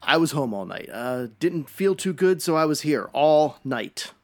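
A man's voice: fairly high pitch, very animated, a little slow, no room sound. A man playing a dim, nervous suspect. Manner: hesitant, clueless.

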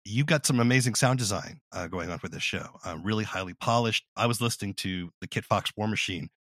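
A man's voice: fairly low pitch, brisk, a bit bright, dry recording. A man hosting a casual interview, talking in an explanatory, conversational way.

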